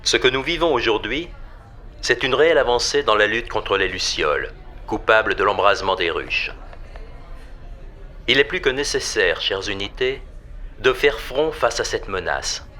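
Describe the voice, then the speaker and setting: A man acts out a venomous tyrant addressing his nation in an audio drama. The voice is low and cuttingly bright. The delivery is a formal political speech, grandiloquent and full of solemn menace. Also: expressive, normal speed. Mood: solemn, menacing.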